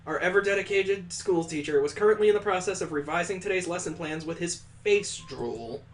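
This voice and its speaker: Expressive gamer reading a visual novel aloud. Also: medium pitch, brisk. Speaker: host of a game playthrough.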